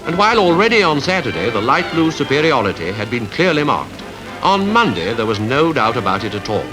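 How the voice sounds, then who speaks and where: A man with a British Received Pronunciation accent reading scripted 1950s newsreel narration in a formal, measured, rhetorical way. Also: medium pitch, sing-song, poor audio. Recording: 1951 archive newsreel with music behind.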